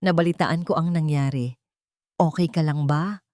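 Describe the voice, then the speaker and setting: A woman with a Filipino accent voicing a loyal, caring male lawyer in a dramatic story, gentle and concerned. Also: deep voice, normal speed, dry recording, studio quality, lightly acted.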